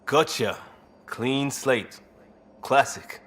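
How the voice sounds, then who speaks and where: A man's voice: medium pitch, extremely slow, fairly bright tone. A man playing an easygoing, blunt former cop turned space rescuer in a video game, talking casually and candidly. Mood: blunt, teasing. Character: easygoing, blunt ex-cop human crisis responder, male.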